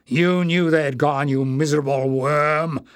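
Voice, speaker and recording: A man voicing a big, gruff, quick-tempered man with a growling, commanding delivery in an audiobook. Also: mid-range voice, a bit bright. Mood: thundering anger. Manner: thundering, snapping, commanding. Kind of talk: acted character voice in audiobook narration.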